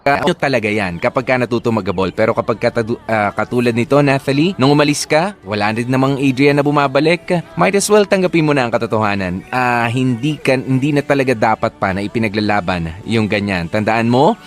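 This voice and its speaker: A man's voice: medium pitch, expressive, fairly quick. A Filipino man working as a radio DJ, talking conversationally and mixing in English phrases as he reads listener letters and gives advice. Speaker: radio DJ hosting a love-story advice show. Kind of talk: reading listener letters and giving casual advice.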